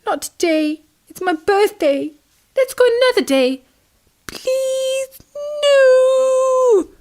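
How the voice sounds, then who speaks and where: A tiny, tearful boy tadpole from a bedtime storybook, played by a woman. The voice is very high and whiny, full of sobs and pitiful pleading. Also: extremely slow, darkish tone, exaggerated voice. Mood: sobbing, pleading. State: sobbing.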